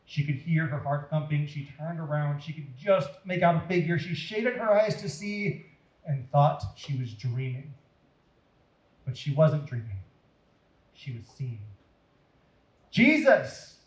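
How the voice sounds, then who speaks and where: A man's voice: fairly high pitch, a little slow, darkish tone, reverberant, low-quality recording. A man with an American accent reading expressively and explaining things simply as a children's storyteller.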